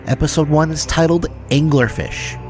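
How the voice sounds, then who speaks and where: A man's voice: fairly high pitch, normal speed, darkish tone. A man hosting a show, presenting scripted links between segments. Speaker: show host. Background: music.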